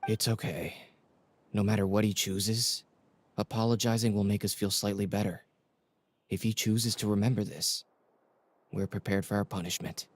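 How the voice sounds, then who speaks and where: A man's voice: fairly low pitch, fairly even intonation, normal speed, long pauses, natural voice. A man voicing a guilt-stricken male follower in a game, anxious and apologetic.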